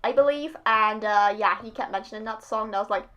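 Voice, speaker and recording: A woman's voice: medium pitch, fairly quick, a bit bright. A woman hosting a reaction video, chatting casually.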